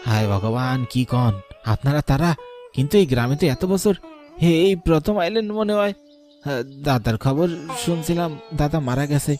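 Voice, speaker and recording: A man's voice: a little high, expressive, moderate pace, a little mellow, faint music behind, lightly acted. A man as a gossipy, helpful rural Bengali villager. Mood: astonished. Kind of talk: Bengali horror cartoon dubbing.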